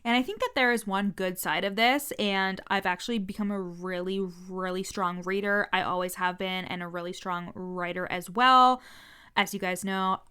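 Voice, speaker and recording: A woman's voice: medium pitch, normal speed, sharp tone, clean tone. A woman business coach talking frankly in a casual podcast monologue, swearing freely, with a stutter.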